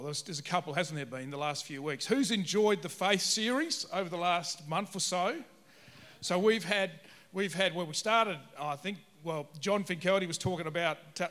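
Chatty high-voiced man hosting a church interview. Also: a bit bright. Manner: rambling, with many restarts. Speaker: church service host.

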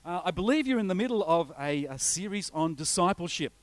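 A middle-aged Australian man speaking as a guest pastor, his voice sitting a little higher than most men's. He talks loosely and conversationally, full of uhs and false starts, and the sound is close and dry with almost no room echo. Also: expressive, a little fast. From north coast of New South Wales, Australia. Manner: chatty, rambling, frequent uh fillers and restarts. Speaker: visiting pastor. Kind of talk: informal sermon introduction with slides.

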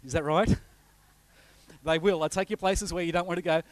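Chatty Australian visiting pastor, dry sound. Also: male voice, on the high side, steady tone, moderate pace, no echo. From north coast of New South Wales, Australia. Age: middle-aged. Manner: chatty, rambling, frequent uh fillers and restarts. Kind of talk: informal sermon introduction with slides.

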